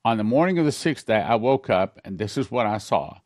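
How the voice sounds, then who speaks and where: Older man preaching, low dry voice. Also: big pitch swings, a little mellow, no room sound. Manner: earnest storytelling, reads letters aloud quickly. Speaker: pastor. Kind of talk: sermon recounting dreams.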